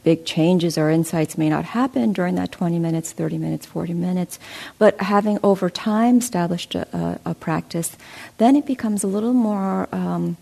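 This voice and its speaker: A woman with a lowish, slightly gravelly voice guides a meditation class. She explains slowly and gently, pausing with soft fillers as she answers a student's question. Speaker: meditation teacher. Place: meditation center hall.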